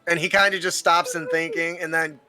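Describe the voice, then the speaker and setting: A man voices his tabletop character in clipped speech that drops its little words. His tone is fairly high and bright, with faint music in the background. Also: little pitch movement, fairly quick. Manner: speaks in broken, article-dropping character speech. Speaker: player. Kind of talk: playing a character in a tabletop game.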